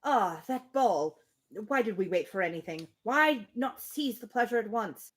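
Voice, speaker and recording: A woman reading the part of a dejected, effusive young gentleman from a novel, in earnest, formal, lamenting speech. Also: a little high, lively intonation, measured pace. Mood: dejected, regretful.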